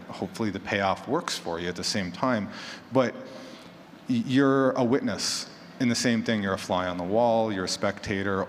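Low-voiced man in an echoey hall. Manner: conversational, illustrates with hypothetical quotes and examples. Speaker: panelist, horror fiction podcaster. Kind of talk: casual conference panel talk. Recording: echoey hall, clear background noise.

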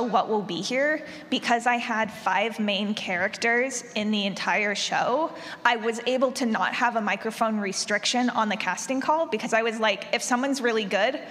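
Woman, high-pitched, conversational panel talk, sound designer, long hall reverb. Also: fairly bright tone. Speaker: panelist, audio drama creator and sound designer. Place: large convention room, live audience.

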